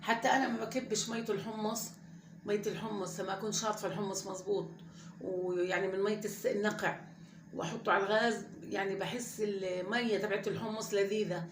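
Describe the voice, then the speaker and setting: A woman's voice: medium pitch, steady tone, fast, roomy sound, noisy background. A woman, hosting a home cooking channel and chatting casually and rambling straight to her viewers from her kitchen. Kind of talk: casual cooking vlog chat.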